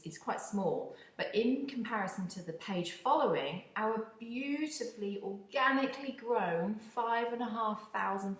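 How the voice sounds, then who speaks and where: A woman from the UK with a British accent, hosting a solo podcast in a chatty, expressive way with wry asides. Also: mid-range voice. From United Kingdom. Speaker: podcast host. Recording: echoey room.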